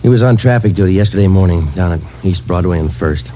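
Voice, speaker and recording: An actor playing a terse, matter-of-fact police sergeant who narrates a 1950s radio crime drama in a clipped, flat way. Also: male voice, low-pitched, fast, a little hiss or hum, lightly acted. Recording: muffled old radio recording.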